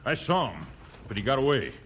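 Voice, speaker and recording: A man's voice: medium pitch, big pitch swings, brisk, highs cut off, a little hiss or hum. A man acting a desperate, defiant rancher villain in a 1950s radio western, blustering in a hard American voice. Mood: insistent. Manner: blustering, forceful. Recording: vintage 1950s radio recording.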